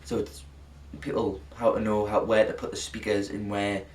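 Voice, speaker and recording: A man presenting an informal video lesson in a halting, rambling way, often correcting himself. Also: on the low side, monotone, darkish tone. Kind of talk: informal spoken introduction to a lesson. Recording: echoey, distant mic, faint noise.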